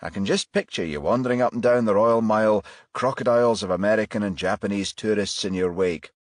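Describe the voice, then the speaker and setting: A man voicing a calm, polite but firm police detective in audiobook dialogue, courteous and probing. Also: lowish voice, fairly quick, no echo, clean studio sound, pitched above the performer's own voice, lightly acted. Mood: teasing.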